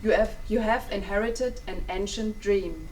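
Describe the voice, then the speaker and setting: A young woman in a staged play reading, playing a calm, knowing grandmother who gives mystical advice. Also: medium pitch, a little slow, natural voice. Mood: knowing, reassuring. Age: young adult. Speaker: student actor in a dramatic reading. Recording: distant mic, noisy room ambience.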